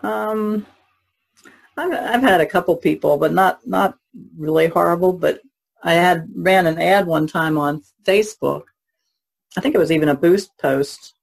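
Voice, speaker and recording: A woman chats casually with a somewhat breathy, rough-edged voice. Her phrasing is loose and easygoing, like someone swapping stories in conversation. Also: fairly low pitch, measured pace. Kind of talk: casual chat.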